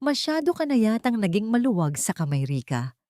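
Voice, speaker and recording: A woman's voice: mid-range voice, very animated, brisk, dark tone, no echo, clean studio sound, pitched above the performer's own voice, lightly acted. Woman doing a scolding, suspicious old lady. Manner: doubting, scolding.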